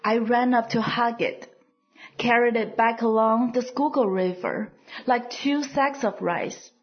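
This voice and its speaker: A woman reads her poem very slowly, her voice slightly breathy and a little high. She lets each line settle before the next. The recording is a bit dull and lo-fi. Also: steady tone. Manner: soft, deliberate phrasing with pauses between lines. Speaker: poet reading her own poem. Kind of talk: slow, measured poetry reading.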